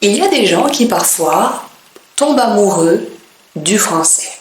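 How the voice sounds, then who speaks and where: A woman from France, a French teacher, speaking in a clear, deliberate way with pauses between phrases. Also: mid-range voice, lively intonation, reverberant, slight background noise, low-quality recording. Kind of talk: slow, careful teaching monologue.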